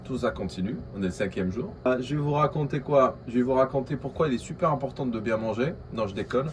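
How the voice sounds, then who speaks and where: A man from Algeria with an Algerian accent, talking casually to his vlog camera in a coworking space. He speaks quickly, with many fillers and restarts. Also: mid-range voice, a little hiss or hum. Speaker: vlogger.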